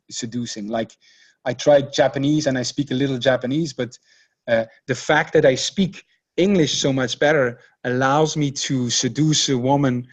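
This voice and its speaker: A man whose first language is Dutch, speaking English as a podcast guest in a relaxed, searching way, often restarting his phrases. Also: medium pitch, lo-fi sound. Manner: hesitant, many restarts and repeated words. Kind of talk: casual conversational interview.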